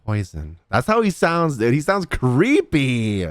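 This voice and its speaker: A man giving casual, informal live reactions as he streams a video game, exclamatory and animated. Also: mid-range voice, slow, dry recording.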